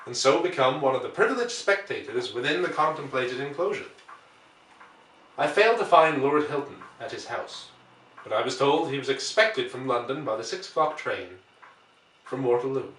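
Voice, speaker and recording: Male audiobook narrator, forceful bright tone, deliberate dramatic delivery, echoey room with the mic set back. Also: medium pitch, animated, a little hiss or hum. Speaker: audiobook reader. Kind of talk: dramatic scripted narration of a classic novel. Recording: roomy echo, distant microphone.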